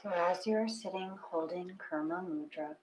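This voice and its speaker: A woman reading a guided meditation in a gentle, unhurried manner with long pauses between phrases. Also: on the low side, slight background noise, rough recording.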